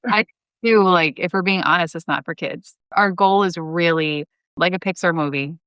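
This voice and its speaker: Clear, smooth-voiced woman chatting as guest. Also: lowish voice, moderate pace, a bit bright, dry recording. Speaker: podcast guest, audio drama creator. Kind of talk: conversational interview.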